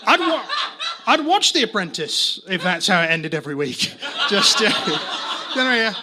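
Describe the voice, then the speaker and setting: A man's voice: high-pitched, very animated, moderate pace. Wry Mancunian stand-up man, noisy club. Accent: faint Mancunian. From Manchester, England. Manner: rambling, self-interrupting, wry. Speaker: stand-up comedian. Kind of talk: stand-up set. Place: comedy club with live audience.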